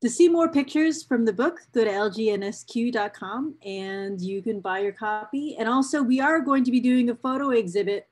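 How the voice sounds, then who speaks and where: A woman's voice: fairly high pitch, normal speed, few pauses. A woman reading a book excerpt aloud as a presenter, with casual asides to viewers, speaking enthusiastically and repeating phrases for emphasis. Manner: enthusiastic, emotionally invested.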